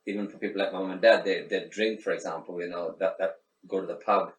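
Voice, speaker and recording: A man's voice: sits low, steady tone, fast talker. A middle-aged man from Sri Lanka, talking in a reflective, casual interview with many restarts and fillers. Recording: echoey room, faint background noise, mic at a distance.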